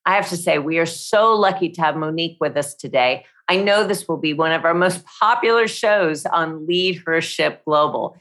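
A woman hosting an interview, reading a polished, effusive introduction at a measured pace. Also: sits low, a bit bright. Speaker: host.

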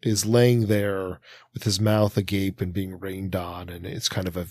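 A man co-hosting a casual discussion podcast, thinking aloud in a hesitant, halting way with many filler words. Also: deep voice, level tone, a little mellow, no echo. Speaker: podcast co-host.

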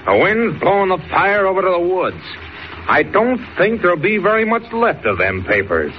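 An actor playing a bossy, irritable racketeer in an old radio drama, talking in gruff tough-guy slang. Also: high voice, very animated, normal speed. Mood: urgent, angry. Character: bossy, irritable male racketeer gang boss. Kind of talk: 1940s radio acting. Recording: noisy, dull old radio recording.

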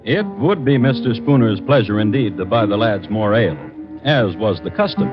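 A man narrating a scripted true-crime radio drama as host, with a wry, dramatic, deliberate storytelling manner. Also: fairly low pitch, lively intonation, over music. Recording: dull vintage radio recording.

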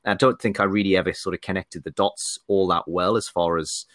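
A man's voice: deep voice, steady tone, brisk, a bit bright, no room sound, clean studio sound. A young man from northeast England with a blended, faintly Geordie British accent, chatting hesitantly as a podcast guest with many restarts. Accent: mixed British, faint Geordie. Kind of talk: casual interview chat.

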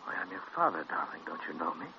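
An actor playing an insistent, smooth-talking middle-aged father in a 1940s radio drama. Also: a bass voice, big pitch swings, fast, exaggerated voice. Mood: coaxing. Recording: muffled, noisy old radio recording.

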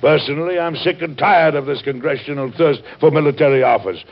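A man playing a weary, principled middle-aged president in a historical radio drama, speaking formally, firmly and with measured delivery. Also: a little high. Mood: firm indignation. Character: weary, principled American president, stern and exacting. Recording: dull lo-fi vintage radio recording.

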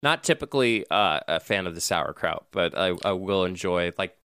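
A man's voice: deep voice, moderate pace, smooth, no echo, studio quality. A man co-hosting a casual chat podcast, talking loosely and laughing often. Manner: laughs often, animated and chatty. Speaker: podcast co-host.

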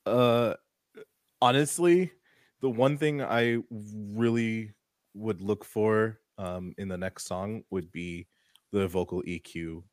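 A man's voice: fairly low pitch, slow, dry recording, studio quality. Crude, laughing male co-host. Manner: loud, laughing, crude joking. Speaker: podcast co-host. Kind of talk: casual streamed chat.

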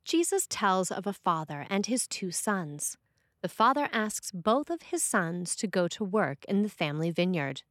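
A woman priest reading a scripted sermon in a measured, expressive way. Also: mid-range voice, no room sound, clean studio sound.

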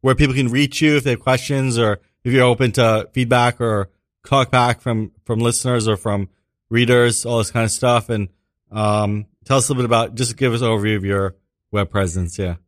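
A man's voice: mid-range voice, steady tone, fairly quick, dry recording. A man hosting a community radio interview show from the studio, talking loosely with fillers and restarts.

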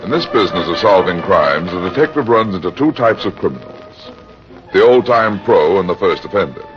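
Old-time radio gumshoe: American, low-pitched, hardboiled, gallant underneath, muffled vintage sound. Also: male voice, lively intonation, brisk, over loud music. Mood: world-weary. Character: world-weary, tough but gallant male private detective. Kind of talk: 1940s radio drama acting, first-person detective narration. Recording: dull, noisy old-time radio recording.

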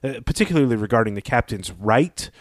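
A man speaks as a podcast co-host in an easy literary discussion. His pitch is medium and the sound is dry, free of any room echo. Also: lively intonation, fast talker.

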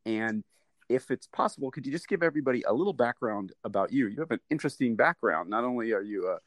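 A man's voice: medium pitch, expressive, quick pace, no echo. A man hosting a podcast interview, enthusiastic, with many fillers and repeated words. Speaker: podcast host. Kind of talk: casual interview.

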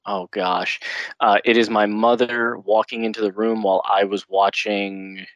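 Low-voiced playful young man. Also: little pitch movement, fairly bright tone, no room sound, lo-fi sound. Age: 20s. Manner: playful, quick riffing. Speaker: guest wrestler. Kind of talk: casual podcast interview.

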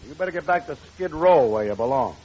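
An actor playing a gruff, bossy watchman who sounds like a cop, in 1940s radio comedy style. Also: a little high, lively intonation, fast talker, a little mellow, close to an everyday voice. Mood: stern. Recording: dull noisy old radio recording.

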